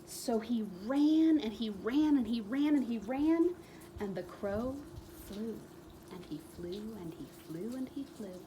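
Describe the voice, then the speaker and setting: A woman reading a children's book aloud as a storyteller, expressively, with dramatic pauses and emphatic repetition. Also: a little high, expressive, dark tone, a little hiss or hum, poor audio.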